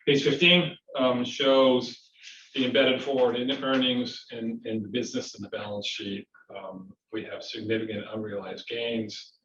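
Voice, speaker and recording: A man speaking as a company executive on an investor earnings call, giving a semi-scripted presentation in a halting way with repeated words. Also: mid-range voice, flat delivery, fairly bright tone. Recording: roomy, distant, dull conference-call audio, faint noise.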